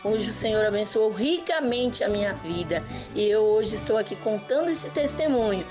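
Brazilian woman testifying over clear background music. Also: mid-range voice, expressive, moderate pace. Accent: Brazilian Portuguese. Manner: devout, earnest. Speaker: church member giving a testimony. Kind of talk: personal religious testimony addressed to viewers. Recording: music bed under the voice.

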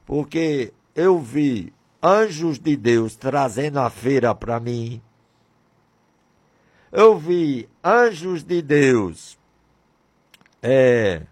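A man in his sixties from Brazil, talking on religious radio in a fervent, repetitive way with many rhetorical questions. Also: mid-range voice. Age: 60s. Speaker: radio presenter.

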